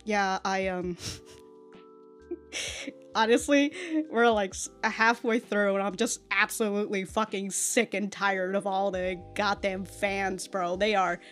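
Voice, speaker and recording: An American woman giving casual, rambling reaction commentary, with an animated, slangy, sweary way of talking. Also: medium pitch, measured pace, faint music behind. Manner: animated, sweary, slangy, often laughing mid-thought. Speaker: reaction video host.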